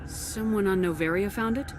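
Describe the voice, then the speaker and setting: A woman's voice: medium pitch, normal speed, music in the background, close to an everyday voice. A woman playing a firm, direct military commander in a video game, with a clipped, commanding delivery. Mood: probing. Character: firm, no-nonsense human military commander heroine.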